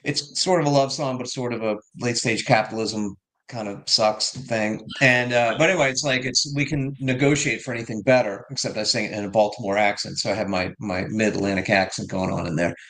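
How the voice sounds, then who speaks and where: A man's voice: on the low side, steady tone, brisk, fairly bright tone, low-quality recording. A man being interviewed about his song, rambling through explanations with restarts and fillers. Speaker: guest musician. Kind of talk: casual interview talk.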